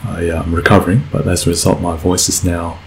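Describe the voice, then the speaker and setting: Male gamer, sick and croaky, very deep voice, rambling let's play talk, slight background hiss. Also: flat delivery, fast. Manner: rambling, self-correcting, frequent fillers. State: croaky voice from a cold. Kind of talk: casual gameplay commentary.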